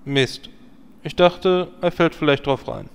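A man's voice: a little high, slow pace, gravelly, clear, resonant tone. A man playing a sardonic adventurer at the head of a hero party in a parody audio drama. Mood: wry. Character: dry, sarcastic adventurer leading a hero party.

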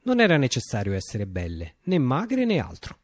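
Animated visionary storyteller, dry close sound. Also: male voice, mid-range voice, normal speed, dry recording. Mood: measured, wry. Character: male visionary storyteller recounting his utopian revolution. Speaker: actor performing a monologue. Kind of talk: theatrical radio monologue, dramatic storytelling.

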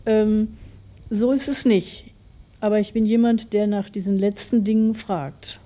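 A woman's voice: mid-range voice, steady tone, measured pace, warm tone. A middle-aged woman giving a reflective interview as a writer, thoughtfully explaining her views in long, winding sentences.

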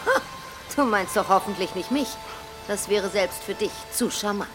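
A woman's voice: mid-range voice, moderate pace, over music, close to an everyday voice, rough recording. A woman playing a witty, playful lady of the manor in a German radio drama, with an arch manner. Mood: playful, teasing.

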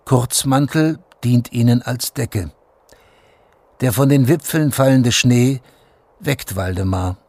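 A man's voice: mid-range voice, slow, a little mellow, no echo. A man narrating a scripted radio drama in a sober, factual manner, in short plain statements.

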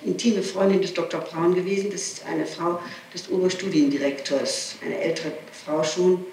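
A woman's voice: lowish voice, steady tone, moderate pace, vocal fry, darkish tone. A woman being questioned gives her statement emphatically and insistently, repeating herself as she goes. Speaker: person being questioned by police. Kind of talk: statement under interrogation. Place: echoey interrogation room. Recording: noisy, echoey archival tape, distant mic.

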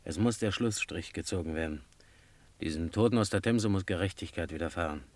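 An actor playing a brusque, dutiful police sergeant in a vintage radio crime play. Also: male voice, low voice, fairly quick, no room sound, lightly acted. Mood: brusque, determined. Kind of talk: vintage German radio crime drama acting.

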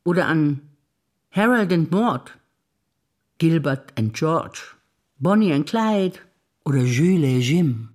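An older woman performing scripted stage dialogue, wry and teasing in quick exchanges. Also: deep voice, expressive, darkish tone. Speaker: stage actor.

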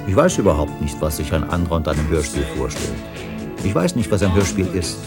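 A man's voice: low voice, very animated, fast, over loud music. An actor playing an earnest man in an experimental radio play, reasoning dryly in circling repetitions. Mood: dry, reflective.

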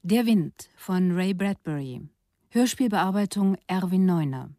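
A woman's voice: fairly low pitch, expressive, a little slow, mellow, no room sound, clean studio sound. A woman radio announcer reading formal scripted credits.

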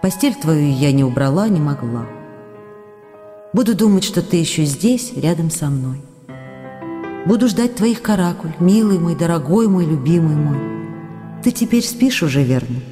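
An actress playing a lonely, adoring wife reading her letters to an absent husband, gently and emotively, in a radio drama. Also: low voice, expressive, moderate pace, pauses often. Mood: tender longing. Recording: long reverb, faint music behind.

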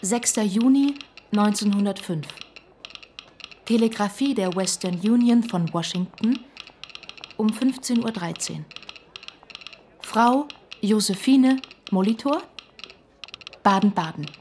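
An actress playing a devoted wife with a measured, deliberate delivery in a radio drama. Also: female voice, mid-range voice, darkish tone, a little hiss or hum. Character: Lina, devoted wife of a spendthrift businessman.

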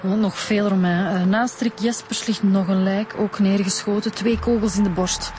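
An actress playing a keen, informal police detective with a Flemish accent in a radio drama. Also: female voice, mid-range voice, steady tone, normal speed, lightly acted. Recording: clear outdoor background noise.

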